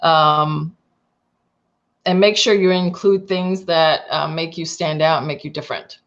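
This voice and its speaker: A woman recruiter speaking on a panel over a video call. She gives candid, practical advice and thinks out loud as she goes. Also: sits low, fairly even intonation, a little slow. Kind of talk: panel discussion. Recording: dull lo-fi call audio.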